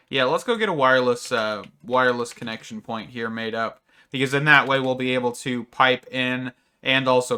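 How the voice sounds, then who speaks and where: Man, bright voice, rambling, filler-heavy, slightly strained, casual gameplay commentary. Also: medium pitch. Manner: rambling, thinks aloud with many fillers. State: voice a little off from strain. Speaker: gaming YouTuber. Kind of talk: casual let's-play commentary.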